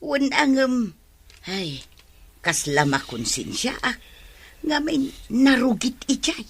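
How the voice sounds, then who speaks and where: A woman's voice: sits high, very animated, slow pace, husky, heavily acted. An actress with an Ilocano Filipino accent playing a hesitant village woman in a comedy radio drama.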